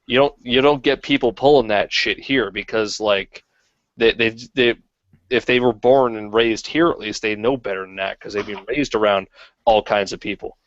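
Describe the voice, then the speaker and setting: A man's voice: on the low side, moderate pace, a bit bright. A blunt man cursing freely in casual chat. His voice sounds close and dry, with no room echo, over dull lo-fi call audio. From Toronto area. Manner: blunt, swears often. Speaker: co-host.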